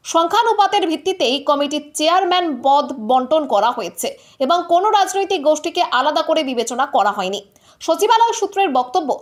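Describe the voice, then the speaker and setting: A woman reads scripted news narration as a presenter. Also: very high voice. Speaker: news presenter for a political news channel.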